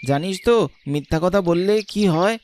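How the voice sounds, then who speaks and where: A man's voice: high voice, moderate pace, a little mellow, slight background noise, natural voice. A man voicing a teasing village boy in a cartoon who defends himself and taunts the other children.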